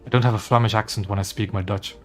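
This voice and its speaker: A man's voice: on the low side, steady tone, fast. A man from Belgium with a mixed Belgian and English accent, chatting casually with fillers while streaming a game. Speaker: gaming streamer. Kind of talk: casual commentary while playing.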